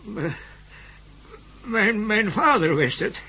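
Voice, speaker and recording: An actor playing a wary old native villager in a radio play, with a husky voice and a halting, hesitant way of talking. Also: male voice, high-pitched, sing-song, sharp tone, exaggerated voice. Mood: halting, remembering. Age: older. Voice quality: raspy, husky.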